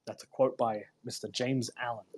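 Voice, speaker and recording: A man with a husky voice hosting a read-aloud, delivering a book in an emphatic, deliberate way with informal commentary. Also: medium pitch, fairly even intonation, darkish tone, a little hiss or hum. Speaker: host reading a self-help book aloud. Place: live online audio room broadcast.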